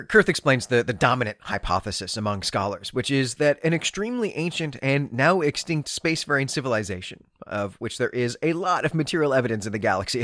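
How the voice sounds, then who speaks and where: A male podcast host talks through books in an analytical, bookish way. His mid-pitched voice moves around a lot as he stresses key phrases, and the sound is dry and close, with very little room in it. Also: a bit bright, no room sound. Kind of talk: literary podcast commentary on science fiction.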